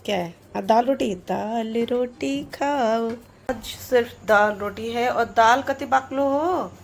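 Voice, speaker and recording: Middle-aged woman vlogging at home, sore throat, a bit high voice, roomy far-off phone sound. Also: takes it slowly, creaky, a little hiss or hum. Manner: chatty, homely, addresses viewers as friends. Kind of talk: casual home vlog talk to viewers. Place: at home, indoors. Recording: roomy sound from a distant mic.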